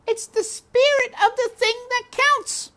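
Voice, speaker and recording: Woman playing a very high-voiced gracious princess. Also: fairly even intonation, measured pace, a bit bright. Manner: polite, well-spoken. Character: young princess, gracious, kindly and bright.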